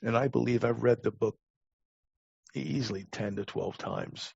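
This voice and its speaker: An older man with an American accent giving reflective interview answers as a guest, halting and repeating words as his thoughts form. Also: medium pitch, gravelly, a little mellow, husky, no room sound, lo-fi sound. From Long Island, United States. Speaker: podcast guest, talent acquisition advisor.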